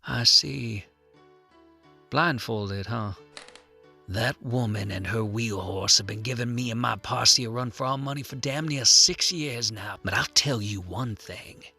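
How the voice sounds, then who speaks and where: Guy doing a grim old west sheriff, gravelly, really sharp forceful voice, folksy and stern, audio drama. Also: mid-range voice. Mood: somber, probing.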